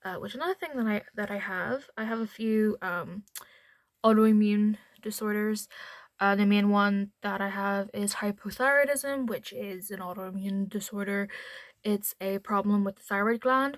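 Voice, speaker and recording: A woman's voice: mid-range voice, no echo. A woman with a mild Northern Irish accent chatting casually to camera at home, rambling into asides as a beauty vlogger. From Northern Ireland.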